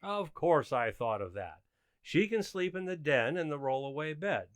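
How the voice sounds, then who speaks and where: A man's voice: fairly high pitch, expressive, moderate pace, clear, resonant tone, no room sound, lightly acted. A man plays a suburban husband in old-time radio comedy. He is earnest and a little fussy, with very varied intonation. The sound is close and dry. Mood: insistent.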